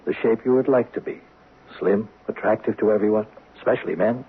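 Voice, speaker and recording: An actor playing a frank, calmly authoritative psychiatrist in a 1970s radio drama, questioning with measured pauses. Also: male voice, lowish voice, slightly rough. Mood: frank, explaining. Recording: thin narrow-band vintage radio broadcast.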